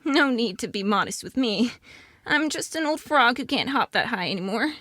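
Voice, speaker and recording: A woman playing a gentle, tearful old mother frog in a radio play. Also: a little high, expressive, normal speed, no echo, clean studio sound.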